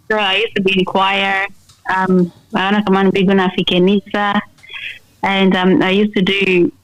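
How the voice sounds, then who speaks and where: A woman's voice: medium pitch, no echo, poor audio. A woman singer-songwriter talking casually in a radio interview, switching between English and another language.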